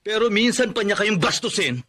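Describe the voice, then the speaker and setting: A man's voice: high-pitched, animated, brisk, pushed and bright, exaggerated voice. An actor playing a principled, indignant young Filipino man and protective son in a radio drama, firm and earnest.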